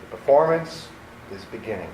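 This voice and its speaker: A man's voice: fairly low pitch, expressive, normal speed, dark tone. A man announcing and narrating an old-time radio play in scripted style. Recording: roomy recording with faint noise.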